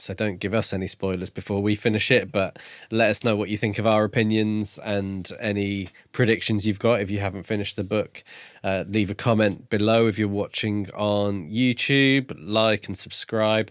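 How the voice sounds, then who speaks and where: A man co-hosting a podcast, talking casually about books in a measured, slightly hesitant way. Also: fairly low pitch, dry recording, studio quality. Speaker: podcast co-host. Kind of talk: casual book discussion.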